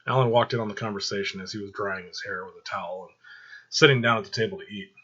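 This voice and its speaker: A man narrating fiction aloud in an expressive storytelling style. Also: fairly low pitch, fast, breathy edge, highs cut off.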